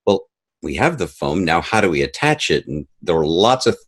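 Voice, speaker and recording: A man giving a casual interview as an audio drama creator, telling things in an animated way and acting out quoted remarks. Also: low voice, normal speed.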